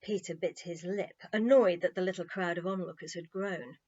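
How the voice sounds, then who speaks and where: A woman's voice: lowish voice, steady tone, moderate pace, darkish tone, muffled recording. A woman narrating a children's story expressively, in a playful, wry, conversational way.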